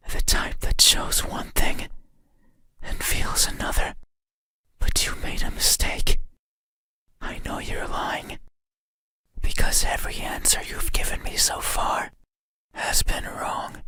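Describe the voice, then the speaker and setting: A performer voicing an eerie, obsessive monster in a breathy whisper, speaking slowly and menacingly in short phrases for an ASMR horror roleplay. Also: pushed and bright, dry recording. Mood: accusing, eerie. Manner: slow, deliberate, menacing, broken into short phrases. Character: eerie, obsessive monster demanding you open your eyes.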